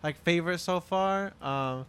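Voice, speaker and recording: A man's voice: a little high, unhurried, a bit bright, clean tone. A young man co-hosting a podcast, laid-back and playful, often laughing, sitting close to a table mic. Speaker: podcast co-host. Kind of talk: casual podcast banter. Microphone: table mic, sits close.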